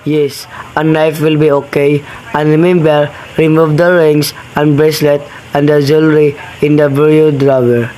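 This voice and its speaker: A man's voice: a little high, little pitch movement, moderate pace, warm tone, a little hiss or hum, natural voice, rough recording. A young man in an amateur radio play, playing a cold, commanding criminal boss who gives businesslike orders. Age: young adult. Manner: businesslike, matter-of-fact orders.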